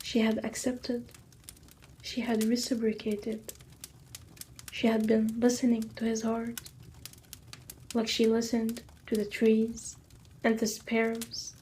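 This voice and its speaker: A woman narrating a scripted ASMR story in a soft, hushed manner with drawn-out phrasing. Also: on the high side, monotone, a little hiss or hum.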